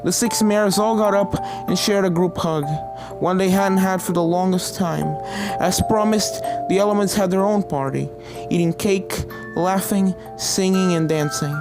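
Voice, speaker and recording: A woman's voice: mid-range voice, fairly even intonation, moderate pace, over music. A woman reading a fan fiction story aloud as narrator, in a scripted, dramatic storytelling style.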